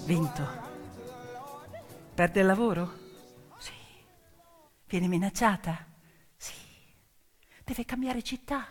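A woman's voice: medium pitch, expressive. Woman storyteller, dramatic and emphatic, over piano. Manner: emphatic, declamatory, with deliberate repetitions and pauses. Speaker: stage storyteller. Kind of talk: dramatic theatrical narration. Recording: piano music behind the voice. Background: piano accompaniment.

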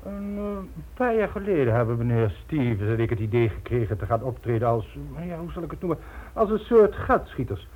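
Smug, urbane crime boss, lively intonation. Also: male voice, mid-range voice, fairly quick. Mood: smooth explaining. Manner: pompous, mockingly polite, condescending. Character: smug, urbane male crime syndicate boss posing as philanthropist. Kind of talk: radio play acting. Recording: muffled old radio drama recording.

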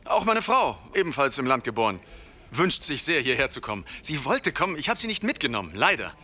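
An actor playing an earnest, opinionated husband interviewed as a trial spectator, speaking in declarations. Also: male voice, medium pitch, big pitch swings, fairly quick, sharp tone. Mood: earnest, regretful. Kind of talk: acted street interview.

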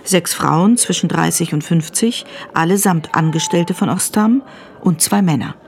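An actress playing the matter-of-fact narrator of a crime radio drama, reading scripted prose. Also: female voice, lowish voice, measured pace, a little mellow. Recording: clear ambient background noise.

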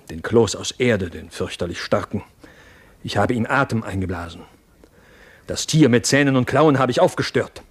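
Fervent scheming tutor, radio drama, lowish raspy voice, grand urgent speeches. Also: male voice. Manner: urgent, rhetorical, commanding. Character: fervent, scheming mentor and jailer urging revolt. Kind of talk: theatrical German radio drama acting.

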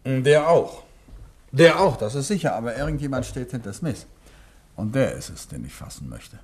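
A urbane gentleman detective from a 1950s radio play. His voice is husky and swings expressively in pitch. He is courteous and calmly insistent. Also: normal speed. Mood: thoughtful, firm. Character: suave, calmly insistent male detective novelist and amateur sleuth. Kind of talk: 1950s German radio drama acting.

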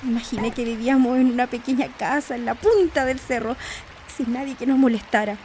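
A woman acts a nostalgic, teasing wife in a radio play. Her voice is high, with a light rain-like noise behind it. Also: a little fast, darkish tone, natural voice. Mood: longing. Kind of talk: radio drama acting.

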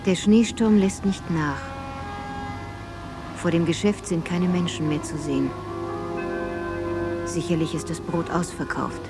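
A woman as low-voiced, solemn reflective narrator. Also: over music, natural voice. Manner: solemn, measured, poetic. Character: grave, reflective woman narrator remembering her childhood. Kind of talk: literary radio drama narration.

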